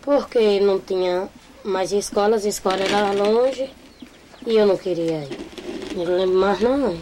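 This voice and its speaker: Halting woman outdoors, birds behind. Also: medium pitch, background noise. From Bahia, Brazil. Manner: simple, halting, unhurried speech. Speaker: interviewee. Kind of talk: plain spoken testimony.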